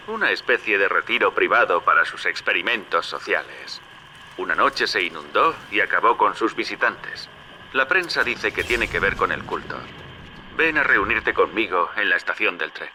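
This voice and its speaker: Bright, brisk radio guide. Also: male voice, lowish voice, big pitch swings, slight background noise, heavily acted, low-quality recording. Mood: explanatory. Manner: familiar, directive. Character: shrewd, brisk male ally guiding the hero. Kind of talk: video game dub acting.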